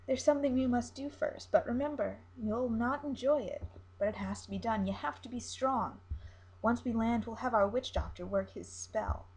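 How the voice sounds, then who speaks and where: A woman's voice: on the high side, moderate pace, a little mellow, slightly rough, rough recording. A woman voicing a manipulative male ship captain in a story, coaxing and persuasive. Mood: firm persuasion.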